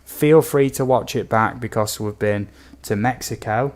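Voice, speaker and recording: A man from the UK with a slightly croaky voice, chatting casually and easily to viewers as a livestream host. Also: mid-range voice, a little slow, a little mellow. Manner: easygoing, chatty, addresses viewers as buddy and guys. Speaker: game streamer, host.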